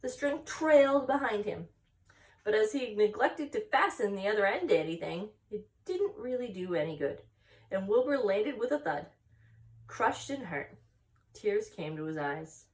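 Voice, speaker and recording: A woman reads a children's story aloud as a story-time reader. Her delivery is very animated, with a mid-range voice, and she sounds a little way from the microphone. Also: mid-range voice, far from the mic, rough recording. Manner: expressive, pauses to explain.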